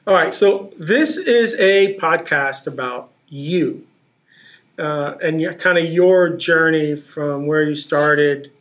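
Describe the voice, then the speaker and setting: A man's voice: on the high side, takes it slowly, a bit bright. A man hosting a podcast who asks questions eagerly and often repeats words as he starts his sentences. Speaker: podcast host. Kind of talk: casual interview hosting.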